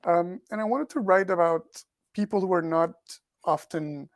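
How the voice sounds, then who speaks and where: A man's voice: high voice, normal speed, no echo, clean studio sound. A man who grew up in Portugal and speaks English as a second language, answering interview questions thoughtfully as an author and researcher. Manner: thoughtful, repeats words as he thinks. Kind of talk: podcast interview.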